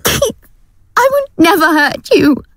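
A woman's voice: extremely high pitch, big pitch swings, slow pace, fairly bright tone, no room sound, heavily acted. A woman playing an anxious, placating abused girlfriend in an audio drama, affectionate but fearful, with a hesitant, soothing and pleading manner. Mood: frightened pleading.

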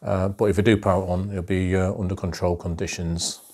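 Casting note: middle-aged man, lowish voice, unhurried workshop commentary, fillers, bench camera mic. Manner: calm, methodical, many ums and uhs. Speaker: electronics restorer. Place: cluttered home workshop.

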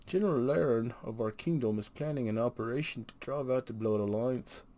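A man's voice: mid-range voice, a little fast, dark tone, lightly acted. Man acting an earnest, declaiming fantasy soldier. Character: earnest loyal male soldier of a fantasy kingdom. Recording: noisy home recording.

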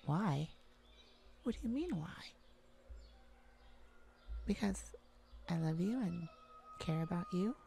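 A tender, devoted mage acted by a woman speaks gently and affectionately in an ASMR roleplay. The delivery is very unhurried, on the low side, with a creaky edge. Endearments come softly and often, as to a beloved mate. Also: lively intonation, exaggerated voice. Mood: tender, reassuring. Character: tender, caring mage gardener devoted to their werewolf mate. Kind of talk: ASMR roleplay audio drama.

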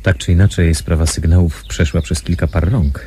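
An actor playing a wary, thoughtful undercover investigator in a radio drama, with a measured, questioning manner. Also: male voice, sits low, steady tone, mellow, lightly acted. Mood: wary, probing. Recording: noisy recording with background ambience.

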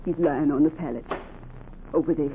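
An actress playing a bitter, despairing wife, sharp and defiant, in 1940s radio style. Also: female voice, sits low, normal speed, phone-quality sound, close to an everyday voice. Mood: bitter, resigned. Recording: thin, noisy 1940s radio recording.

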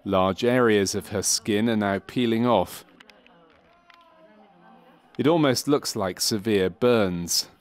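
A man narrating a medical training film from a script, in a measured, clinical and factual way. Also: on the low side. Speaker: documentary narrator.

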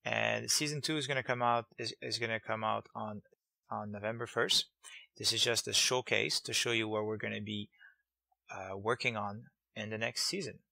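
A man's voice: fairly low pitch, steady tone, moderate pace, creaky, sharp tone, no echo. A man hosting a game development tutorial series, narrating casually in a voice-over. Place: close, dry voice-over over screen capture.

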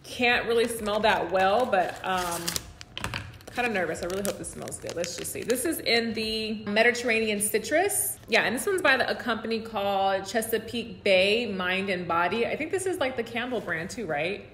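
A woman's voice: medium pitch, animated, normal speed, fairly bright tone, a little hiss or hum. American woman vlogger in an echoey room. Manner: conversational, informal, frequent "like" and "you guys". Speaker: home decor vlogger. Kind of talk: casual chat to camera. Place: room at home, indoors. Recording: roomy indoor echo.

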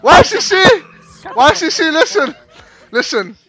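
A man hosting a virtual reality game vlog, chatting playfully and flirtatiously, breaking into loud excited laughter. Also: extremely high pitch, a little slow, over music. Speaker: VR social game channel host. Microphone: VR headset mic.

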